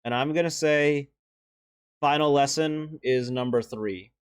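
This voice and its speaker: A young man with a deeper voice giving casual, earnest and emotional commentary as he ranks favorite animated shorts, with sighs and restarts. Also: measured pace, clean tone, no echo. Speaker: video creator ranking animated shorts.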